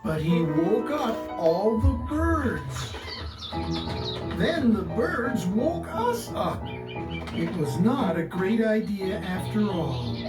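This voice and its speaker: A woman narrating a children's picture book deliberately and expressively, with dramatic pauses. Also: medium pitch, very animated. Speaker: guest story reader. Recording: roomy distant voice under loud music. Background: loud instrumental music.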